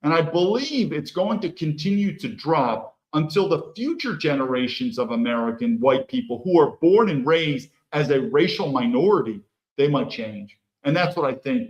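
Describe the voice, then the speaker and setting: Animated, blunt male podcast host. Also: on the high side, dull audio. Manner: blunt, emphatic, acts out imagined dialogue. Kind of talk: solo political commentary.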